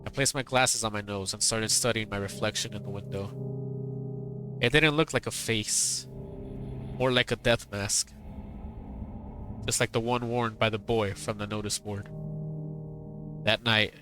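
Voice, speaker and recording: A male streamer reading a visual novel's dialogue aloud, his voice tired and strained, halting and repeating words between laughs. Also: fairly low pitch, steady tone, music in the background. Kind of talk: reading game dialogue aloud, then casual chat.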